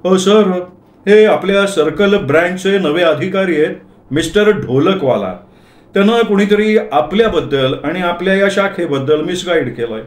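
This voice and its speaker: A man's voice: sits high, moderate pace, reverberant, voice pushed up, lightly acted. A man voicing an eager, deferential office accountant in a comic story, respectful and reassuring.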